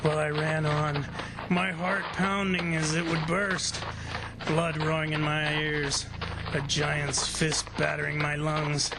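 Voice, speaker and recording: An actor playing a stubborn, desperate plantation owner in an old-style radio drama, speaking intensely and haltingly. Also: male voice, a little high, level tone, heavy background noise. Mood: strained, urgent. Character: embattled plantation owner, stubborn, desperate, fighting through agony. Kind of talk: 1940s radio drama acting, first-person narration. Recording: loud music under the voice. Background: loud dramatic music.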